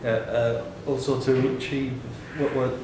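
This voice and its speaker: A man's voice: medium pitch, flat delivery, a little slow. A man reading out questions and answers as the host of a live pub quiz. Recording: very echoey room with background noise.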